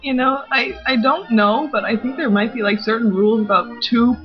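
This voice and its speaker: A woman speaking casually, with a somewhat halting way of talking. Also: on the high side. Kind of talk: casual interjection. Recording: dull, lo-fi sound with faint music.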